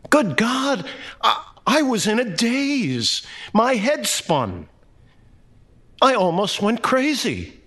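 A man's voice: very high voice, huge pitch swings, slow, fairly bright tone, slightly rough, pitched above the performer's own voice, heavily acted. A man voicing a dazed, bewildered storyteller in a literary tale, exclaiming and questioning dramatically. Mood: dazed, distraught. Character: bewildered first-person storyteller, overwhelmed and dazed.

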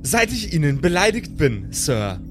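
A man's voice: on the high side, big pitch swings, unhurried, music in the background. A man voicing a touchy, sulking spaceship computer in an improvised audio play, with formal, snippy politeness. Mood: offended.

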